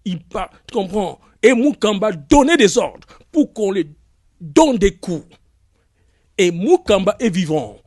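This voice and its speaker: A man's voice: sits high, a little mellow, no room sound, studio quality. A man giving an impassioned public statement in a clip, speaking emphatically and building to anger. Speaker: speaker in played video clip.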